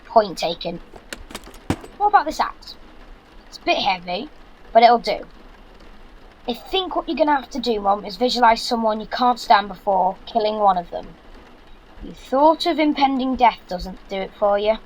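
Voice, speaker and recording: A man's voice: sits high, expressive, moderate pace, pauses often, poor audio. A young man playing a practical, bossy British son in an audio drama, speaking in an earnest, instructive way. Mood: brisk, practical. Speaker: actor.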